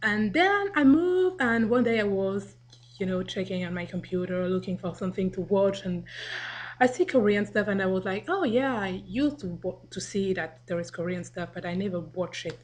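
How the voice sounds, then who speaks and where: A woman's voice: mid-range voice, expressive, normal speed. A young woman from Africa, chatting casually to a home webcam, rambling and laughing as she acts out quotes. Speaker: vlogger. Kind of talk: casual video response to the camera.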